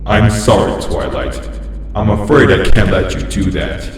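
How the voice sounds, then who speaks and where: A man voicing a possessive living stuffed toy that talks with slow, falsely friendly menace in a horror story reading. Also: on the low side, a little hiss or hum. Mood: calm menace. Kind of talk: horror fan fiction reading. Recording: heavy cavernous echo and long reverb.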